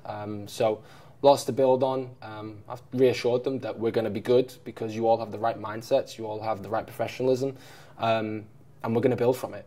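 Thirty-something guy coach talking after a game, mellow warm voice, lots of ums and restarts. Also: on the low side. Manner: reflective, frequent fillers and restarts. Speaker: head coach. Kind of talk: post-match press conference. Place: press room in front of a sponsor backdrop.

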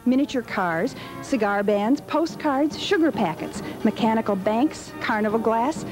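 An American woman reading scripted documentary narration as the host of a television special. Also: medium pitch. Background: music.

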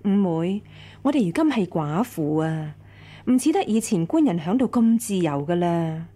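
An imperious senior wife in a radio drama, with a Hong Kong Cantonese accent. Her husky voice swings widely as she scolds, stern and self-righteous. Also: fairly low pitch, animated, normal speed, warm tone. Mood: stern, lecturing.

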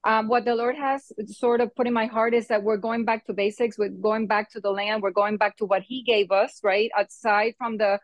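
A woman's voice: on the high side, fairly even intonation, moderate pace, few pauses, no echo. Hesitant woman on a call. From Puerto Rico. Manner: hesitant, many ums, checks in with "right?". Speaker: nonprofit member calling in. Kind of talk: casual call testimony. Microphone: video call on a phone.

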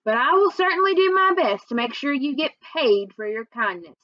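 A woman's voice: high-pitched, sing-song, normal speed, no room sound, lo-fi sound, pitched above the performer's own voice. A woman voicing a worried, sad, folksy Southern woman who is wistful, in a storybook read aloud. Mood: hurt. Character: worried, sad Southern woman missing her lost dog.

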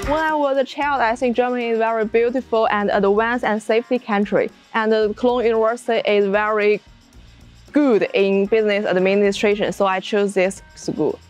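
A woman's voice: a little high, fairly even intonation, moderate pace, highs cut off, soft background music. A young woman from China with a Chinese accent, an exchange student answering interview questions in simple English on a lapel mic outdoors. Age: young adult. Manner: simple, slightly uneven English. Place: university courtyard outdoors.

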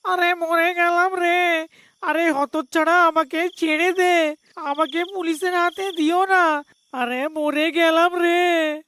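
A woman as a squeaky, wailing, terrified thief. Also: steady tone, slow, a bit bright, full character voice. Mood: pleading. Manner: panicky, pleading, wailing. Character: terrified, whining petty male village thief. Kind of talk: cartoon voice acting.

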